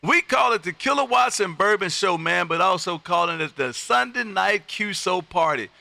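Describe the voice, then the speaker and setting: An American man in middle age with a very bright, punchy voice that sits fairly high and carries some gravel. He works the airwaves as an exuberant ham radio host, repeating his phrases for emphasis. The sound is close and dry. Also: animated, moderate pace. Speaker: amateur radio operator and livestream host. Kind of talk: lively on-air ham radio chat with showman patter. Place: home radio room.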